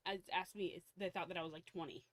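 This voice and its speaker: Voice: mid-range voice, steady tone, fast, no room sound. A person in their thirties, the streamer's spouse, chiming in with dry teasing remarks in casual chat. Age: 30s.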